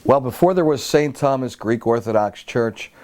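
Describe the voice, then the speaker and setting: A man hosting an oral history interview in a guest's home, introducing the guest and asking measured questions. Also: mid-range voice, animated, a little mellow. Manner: measured questions, some restarts.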